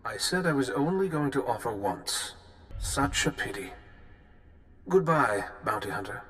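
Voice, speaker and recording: A haughty aristocratic assassin for a video game. He speaks at medium pitch with dry, formal courtesy and a steady undertone of threat. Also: a little slow, soft background music. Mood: politely menacing. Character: suave, haughty noble assassin, politely menacing. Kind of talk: video game dialogue acting.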